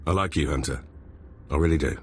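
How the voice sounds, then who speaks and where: A cocky, deep-voiced spy officer. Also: male voice, a little fast, slight background noise. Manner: cocky, conspiratorial. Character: brash male Imperial intelligence officer, scheming.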